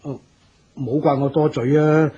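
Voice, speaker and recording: Loyal assistant, hong kong cantonese, mellow but raspy, a bit high, careful and deferential, muffled radio play. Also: male voice, flat delivery, moderate pace. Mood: deferential, concerned. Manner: deferential, hesitant, soothing. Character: loyal, tactful male aide to a stern boss. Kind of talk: radio drama acting.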